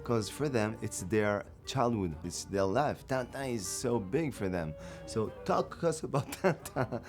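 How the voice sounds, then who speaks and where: Animated actor from Morocco. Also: male voice, fairly low pitch, measured pace, music in the background. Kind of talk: documentary interview.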